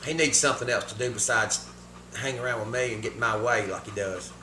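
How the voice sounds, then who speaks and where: A man's voice: mid-range voice, fairly quick, sharp tone, echoey room, a little hiss or hum. A man, a pianist and singer, talking in a folksy, joking way on a reality show. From Georgia, US.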